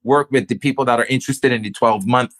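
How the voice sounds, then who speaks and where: A man's voice: mid-range voice, fairly even intonation, fast, a bit bright. A man with a Barbadian accent, a business owner talking casually and stressing his points by repeating phrases. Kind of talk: casual conversation.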